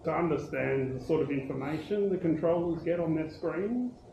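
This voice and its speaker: A man speaking as a pilot, giving considered feedback in measured, formal wording. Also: a little high, vocal fry. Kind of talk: considered feedback in a group discussion. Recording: dull, echoey, noisy room.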